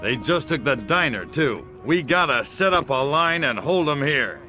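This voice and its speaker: A man playing a gruff, sarcastic government agent in a video game, with a hard-boiled, dismissive manner. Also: mid-range voice, lively intonation, normal speed, pushed and bright. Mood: commanding. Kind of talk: video game cutscene acting. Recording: music behind the voice.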